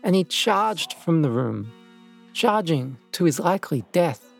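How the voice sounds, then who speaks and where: A man reading scripted documentary narration as a measured, dramatic storyteller. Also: fairly high pitch, big pitch swings, gravelly. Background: faint music.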